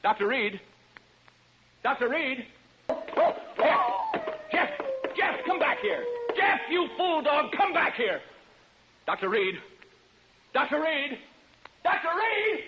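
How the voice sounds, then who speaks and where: A man's voice: very high-pitched, huge pitch swings, slow, pauses often, heavily acted. An actor playing a jittery, skeptical radio DJ alone in a haunted house, stammering and chatting nervously to his listeners in 1940s radio style. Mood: panicked shouting. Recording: noisy, dull 1940s radio recording.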